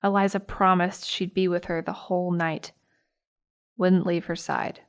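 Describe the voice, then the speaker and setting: A young American woman playing a sympathetic, earnest high school girl recalling a friend in a naturalistic audio drama. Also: level tone, measured pace. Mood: fond, recounting.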